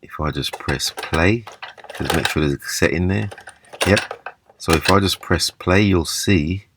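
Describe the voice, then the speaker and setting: A man giving a casual, hands-on repair demonstration, explaining haltingly step by step. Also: deep voice, noisy background. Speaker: repair video presenter. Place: small indoor room at a table.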